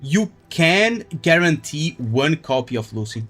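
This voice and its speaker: A man's voice: on the high side, very animated, a little slow, fairly bright tone. A man doing casual livestream commentary on a game, with a crude, slangy, joking manner.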